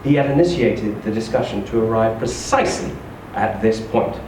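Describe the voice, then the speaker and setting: Echoey, animated male story reader. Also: fairly low pitch, normal speed. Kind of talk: expressive live literary reading. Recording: echoey room, distant mic, faint noise.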